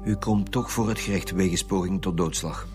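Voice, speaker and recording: An actor with a Flemish accent playing a measured, dryly bemused police commissioner in a radio drama. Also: male voice, low-pitched, close to an everyday voice. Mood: measured, official. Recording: music behind the voice.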